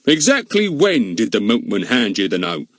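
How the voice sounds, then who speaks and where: A man voicing a pompous, slow-witted middle-aged village policeman who blusters and is easily flustered. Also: on the low side, big pitch swings, fairly bright tone, no echo, studio quality. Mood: blustering. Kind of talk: audiobook character voice.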